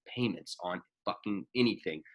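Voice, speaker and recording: A man's voice: fairly low pitch, normal speed. A man in his late twenties co-hosting a podcast, rambling casually with frequent swearing and fillers. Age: 20s. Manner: rambling, profane, lots of fillers. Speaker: podcast co-host, gym owner. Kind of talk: casual chat.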